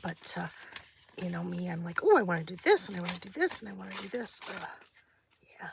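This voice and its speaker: A woman's voice: lowish voice, big pitch swings, a little fast, gravelly, noisy background. A woman with a slightly stuffy, nasal voice chatting casually and thinking aloud as she hosts a craft session at her desk, meandering and interrupting herself. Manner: hesitant, meandering, self-interrupting. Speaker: craft video host.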